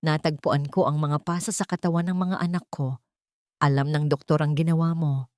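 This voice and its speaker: A woman's voice: low voice, fairly even intonation, normal speed, a little mellow, no echo, clean studio sound, lightly acted. Woman voicing a stern, resolute businessman father. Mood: firm. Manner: firm, confrontational. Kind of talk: Tagalog audio drama acting.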